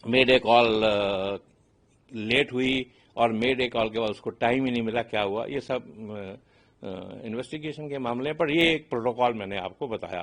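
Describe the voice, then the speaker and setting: An Indian man with a somewhat raised pitch gives expert commentary in an interview. He explains in a teacherly manner, leaning on everyday examples and little check phrases. He slips between two languages as he goes. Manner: mixes English phrases into Hindi. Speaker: aviation consultant, expert guest.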